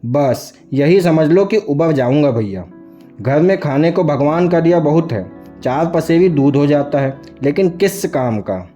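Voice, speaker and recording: A man voicing a boastful, lonely widowed cowherd in his fifties in a storybook, with gruff rustic speech. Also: fairly high pitch, lively intonation, unhurried, dark tone, faint music behind. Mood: hopeful. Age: 50s. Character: boastful, lonely fifty-year-old widowed male cowherd.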